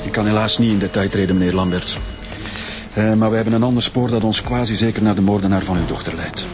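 An actor playing a formal, apologetic senior police official with a Flemish accent, speaking in officialese in a radio drama. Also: male voice, fairly low pitch, fast, natural voice. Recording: music behind the voice.

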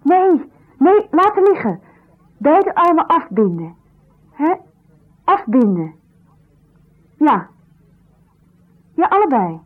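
A woman's voice: high-pitched, big pitch swings, slow pace, pauses often, exaggerated voice. An actress playing an agitated, impatient woman in a naturalistic radio drama, speaking in urgent, clipped phrases. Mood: alarmed. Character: agitated woman, bold and impatient, anxious in crisis. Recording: dull lo-fi 1970s radio recording.

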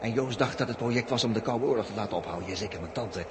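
An actor playing a grumbling, sarcastic spaceship technician in 1960s Dutch radio drama, with a Netherlands accent. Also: male voice, lowish voice, racing, close to an everyday voice. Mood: sarcastic, indignant. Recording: noisy old 1960s radio recording.

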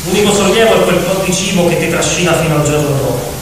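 A man's voice: fairly high pitch, fast. A man narrating a story as scripted drama, theatrical, in short emphatic phrases. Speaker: narrator of a radio play. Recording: very echoey hall, distant, noisy.

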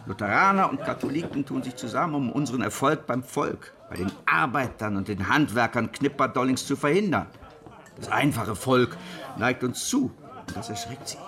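An actor playing a grave, shrewd rebel preacher of the sixteenth century in a radio drama, speaking in a measured, earnest way. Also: male voice, medium pitch, expressive, sharp tone. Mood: grave, resolute. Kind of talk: historical radio drama acting.

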